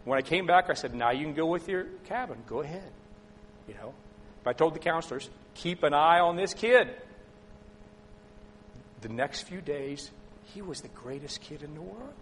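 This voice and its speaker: A middle-aged man preaching a sermon, telling a personal story and asking the congregation questions, sometimes loud and sometimes soft. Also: fairly high pitch, expressive, moderate pace, long pauses, a little hiss or hum, rough recording. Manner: conversational, asks the congregation questions, swings between loud and soft. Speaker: pastor.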